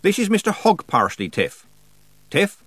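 A bossy old village witch from a storybook performed by a man, lifted in pitch with big swoops. She talks in folksy, colloquial turns, teasing and scolding, close and dry. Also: sing-song, a little fast, a bit bright, breathy edge, dry recording, soft background music, exaggerated voice. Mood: loud, cheeky. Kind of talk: audiobook character dialogue.

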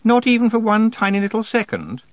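A man's voice: sits high, huge pitch swings, a little slow, a bit bright, no room sound, pitched above the performer's own voice, exaggerated voice. A man voicing a haughty, easily flustered teenage witch girl in a prissy, exclaiming manner for a storybook. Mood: smugly playful.

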